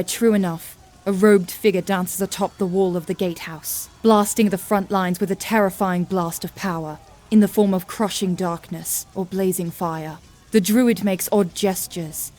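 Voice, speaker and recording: A woman's voice: medium pitch, steady tone, moderate pace, darkish tone. A woman narrating a scripted fantasy audio drama in a grave, vivid storytelling manner.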